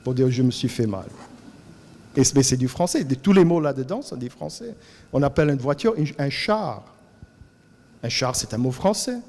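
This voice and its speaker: A man's voice: a little high, vocal fry. Cajun French man speaking in an echoey hall. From Louisiana. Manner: animated, repeats words while searching for them. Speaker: colloquium speaker. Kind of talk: lively talk to an audience. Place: lecture hall.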